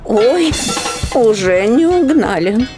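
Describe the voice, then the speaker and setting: A flustered cartoon lady with a high pitch and slow, drawn-out delivery. She sounds easily bewildered, with music behind her. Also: sing-song. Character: flustered adult woman driver, easily bewildered. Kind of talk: children's cartoon acting. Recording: background music under the voice.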